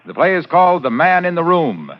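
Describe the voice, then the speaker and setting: Animated high-pitched American radio announcer. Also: male voice, fairly quick. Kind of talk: 1940s radio announcing, scripted. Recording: muffled vintage radio with music behind.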